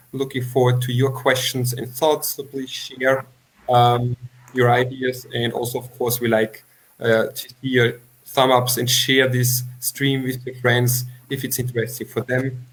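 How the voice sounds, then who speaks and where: A man hosts a livestreamed interview in mid-range pitch. He is a non-native English speaker, with halting and slightly unidiomatic phrasing as he addresses viewers. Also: fairly bright tone, rough recording. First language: not English. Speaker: interview host.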